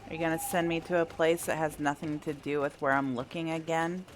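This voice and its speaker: A woman's voice: low voice, flat delivery, fairly quick, a little hiss or hum. A woman giving casual, wry commentary as she plays a video game, thinking aloud. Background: faint game music.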